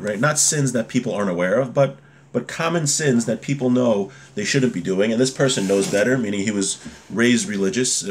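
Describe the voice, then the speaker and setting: A man around forty giving an informal religious lesson in a conversational way, reading Hebrew phrases and explaining them with everyday examples, recorded at a desk in a home room. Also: on the low side. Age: 30s to 40s. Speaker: religious teacher. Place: small home room, indoors. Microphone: webcam or device mic at desk distance.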